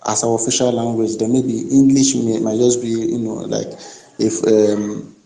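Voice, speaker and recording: A man with a Nigerian accent giving his opinion informally in a group discussion, halting as he searches for words. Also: mid-range voice, dark tone. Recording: echoey room on online call.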